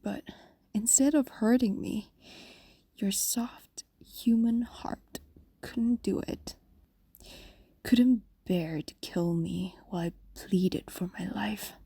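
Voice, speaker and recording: A woman voicing an ancient, lovestruck female fox demon in an intimate audio roleplay, whispering softly and coaxingly with lingering pauses. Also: mid-range voice, fairly even intonation, no echo, exaggerated voice. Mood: wistful, fond. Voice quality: soft, breathy whisper. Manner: intimate, slow, pausing, coaxing. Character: possessive, lovestruck female fox demon, centuries old, tender. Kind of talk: ASMR-like fantasy audio roleplay.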